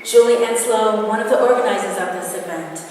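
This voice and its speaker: A woman's voice: mid-range voice, brisk, darkish tone. A woman giving a formal greeting as emcee at a public ceremony. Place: large church hall. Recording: echoey hall, distant, crowd noise.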